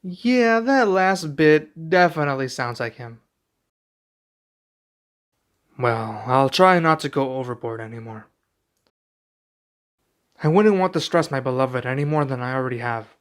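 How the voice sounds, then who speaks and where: A man's voice: mid-range voice, expressive, normal speed, natural voice. A man playing a tender, hesitant young king. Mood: amused, tender. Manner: soft, affectionate, hesitant delivery. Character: young king, doting, excited and nervous expectant father. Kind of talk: intimate ASMR roleplay acting.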